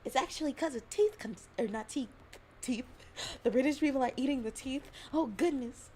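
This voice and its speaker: A woman's voice: sits high, normal speed, a little mellow, slight background noise. A young adult woman from Alabama chatting casually on a livestream, giggling often and rambling between thoughts. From Alabama, US South. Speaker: streamer.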